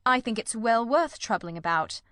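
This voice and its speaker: A woman voicing a shrewd, composed gentleman detective in a storybook, formal, measured and authoritative. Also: a little high, animated, clear, resonant tone, no echo, clean studio sound. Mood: thoughtful, grave.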